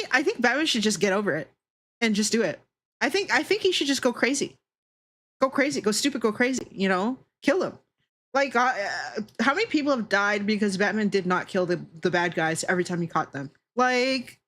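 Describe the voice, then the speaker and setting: A woman with a fairly high voice talks as a livestream host in a casual, chatty style. She is opinionated and sweary, often repeating a phrase to drive it home. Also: a bit bright. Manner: opinionated, heavy swearing, emphatic repetition.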